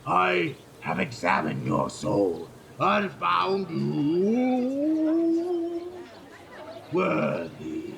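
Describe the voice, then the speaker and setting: A man's voice: sits high, wildly swooping pitch, extremely slow, creaky, fairly bright tone, some chatter behind, heavily acted. A man voicing a solemn judging spirit in a fantasy audio drama, commanding and portentous in archaic phrases. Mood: ominous, solemn.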